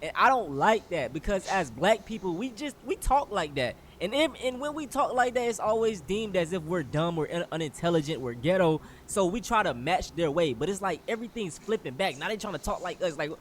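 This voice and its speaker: A man in his twenties with a high, very lively voice delivers casual reaction commentary. He is slangy and emphatic, with faint noise behind him. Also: brisk, few pauses. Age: 20s. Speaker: reaction video host.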